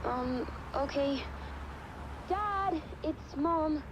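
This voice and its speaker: A woman's voice: high-pitched, extremely slow. A woman playing a defensive, exasperated teenage daughter in a naturalistic game cutscene. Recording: band-limited phone call with clear noise.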